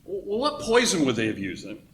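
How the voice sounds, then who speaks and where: A man's voice: on the high side, huge pitch swings, brisk, a bit bright. An actor playing an uneasy, accusing male station employee in a radio play. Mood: questioning. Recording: clear background noise.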